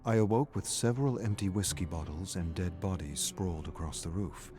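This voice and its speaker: An actor playing an erudite, morbid prisoner narrating his journal in a video game, formal and measured. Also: deep voice, mellow, faint music behind, lightly acted. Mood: brooding, weary. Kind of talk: scripted dramatic game narration.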